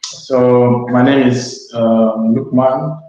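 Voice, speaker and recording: A young man with a Ghanaian accent speaking as an interview guest, halting and often repeating words. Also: medium pitch, level tone, warm tone. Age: young adult. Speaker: webinar guest, PhD student. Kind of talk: informal interview, introducing himself. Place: study room, online call. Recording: echoey room, muffled online call audio.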